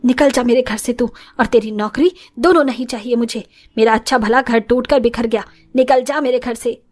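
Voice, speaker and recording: A woman's voice: fairly high pitch, steady tone, moderate pace, darkish tone, natural voice. A woman playing an older mother-in-law with a scolding, emotional manner in a moral story cartoon. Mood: furious. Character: older mother-in-law, indignant and easily wounded.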